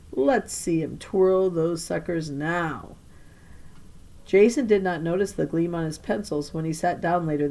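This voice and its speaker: A woman reading a children's novel aloud like a teacher to her class, with animated storytelling and emphatic phrasing. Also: on the low side. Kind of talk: expressive children's novel narration.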